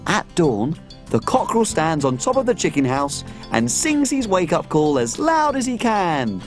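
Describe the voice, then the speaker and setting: A woman's voice: on the low side, very animated, normal speed, faint music behind. An actress playing a tiny, cheerful female cook who tells stories in a bubbly, sing-song children's TV manner. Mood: cheery. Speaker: little cook. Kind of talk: children's TV acting.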